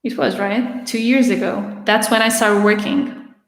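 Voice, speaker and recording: A woman in her twenties with a raspy voice, hosting a casual Q&A livestream and chatting through viewer questions with a few restarts, speaking with some strain. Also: mid-range voice, steady tone, measured pace. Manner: chatty, with frequent restarts and laughter. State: having trouble speaking. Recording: roomy echo with long reverb.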